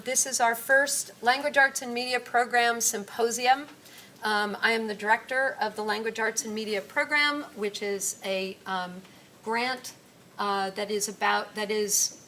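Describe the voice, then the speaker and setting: Bright woman opening a symposium, halting. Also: a little high, slight background noise. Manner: halting, full of ums. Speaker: program director, event host. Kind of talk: opening remarks. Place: lecture room.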